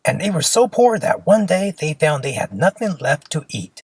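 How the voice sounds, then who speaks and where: A storyteller reading a children's fairy tale aloud in an expressive, simple storybook manner. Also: medium pitch, moderate pace, slightly rough, no room sound.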